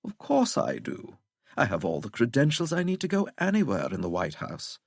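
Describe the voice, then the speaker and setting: A man voicing a smug, unflappable English gentleman villain for an audiobook, with a posh, droning, mock-courteous manner. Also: mid-range voice, wildly swooping pitch, normal speed, vocal fry, dry recording, studio quality, exaggerated voice. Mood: smooth, needling. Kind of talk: audiobook character voice.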